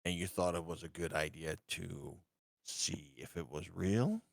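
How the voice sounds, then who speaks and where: A man playing a tabletop game, speaking in a slow, wry way. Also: low voice, gravelly, dry recording, studio quality. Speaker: player.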